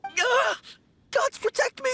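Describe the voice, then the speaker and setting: A man's voice: extremely high pitch, slow pace, gravelly, pushed and bright, exaggerated voice. A man playing a timid, polite young novice undertaker in a video game, with a formal, deferential manner. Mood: terrified. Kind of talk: English game dub voice acting.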